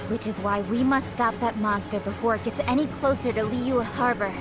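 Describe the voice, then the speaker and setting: A woman's voice: fairly high pitch, steady tone, normal speed, lightly acted. A woman playing a grave, determined official in a video game, speaking earnestly and matter-of-factly. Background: game music.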